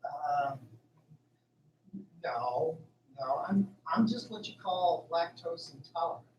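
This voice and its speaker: A man's voice: fairly high pitch, animated, takes it slowly, muffled recording. A man playing an earnest, uneasy farm worker in a radio drama, plain-spoken and a little hesitant. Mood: nervous politeness. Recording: roomy, distant, faint noise.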